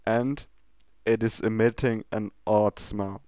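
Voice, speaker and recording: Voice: mid-range voice, fairly even intonation, slow pace, dark tone, no echo. An amateur reader narrating a comic fan fiction story as the storyteller.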